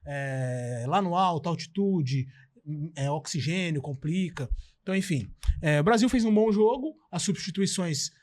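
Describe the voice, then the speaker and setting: Musing Brazilian co-host, fairly high voice. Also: male voice, expressive, measured pace, darkish tone. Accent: Brazilian Portuguese. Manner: musing, many fillers and trailing "enfim". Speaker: sports show co-host. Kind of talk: casual sports talk.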